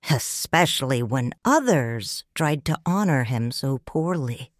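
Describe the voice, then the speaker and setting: A woman narrating a first-person audiobook story in a measured, solemn and expressive way. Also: sits low, no room sound, clean studio sound.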